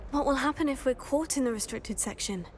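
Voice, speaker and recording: A woman's voice: high-pitched, a little fast, a little hiss or hum, natural voice. An actress playing a plucky, polite teenage schoolgirl witch in a video game, earnest and composed. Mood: curious, determined. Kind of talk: video game cutscene acting.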